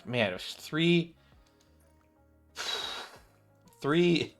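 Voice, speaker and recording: A man's voice: fairly high pitch, animated, drawn-out words, soft background music. A man giving casual, off-the-cuff commentary on a game, rambling and thinking aloud as he plays. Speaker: gaming video creator.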